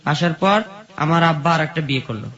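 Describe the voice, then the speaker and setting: A high-pitched male voice with a rural Bangladeshi accent, slightly muffled in the recording. He tells his life story to an interviewer in a plain, repetitive way, moving step by step through what happened. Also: a little fast, fairly bright tone. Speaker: guest telling life story. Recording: dull, muffled lo-fi sound.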